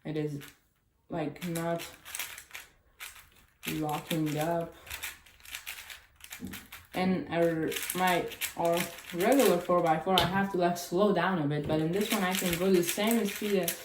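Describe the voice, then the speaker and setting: A woman whose first language is Chinese, chatting casually and haltingly through a cube unboxing with small grammar slips. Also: on the low side, fairly even intonation. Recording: echoey room with clicking noise.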